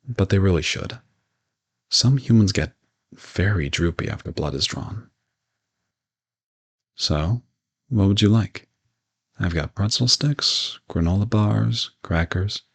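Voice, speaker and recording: A low-pitched, gravelly modern vampire, played by a man, speaks in a roleplay audio drama. He is polite and reassuring, explaining things in a gentle, conversational, matter-of-fact way. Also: full character voice.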